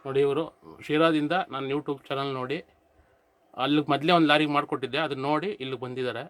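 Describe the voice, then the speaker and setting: A man's voice: a little high, fairly even intonation, quick pace, fairly bright tone. A man presenting a casual vlog as host and audio installer.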